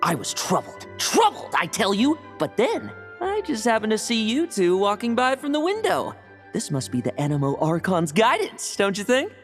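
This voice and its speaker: A woman's voice: medium pitch, wildly swooping pitch, normal speed, soft background music. A woman playing a sly young knight in a video game, coaxing and playfully evasive. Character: sly young knight scheming to inherit a title. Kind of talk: video game cutscene dialogue.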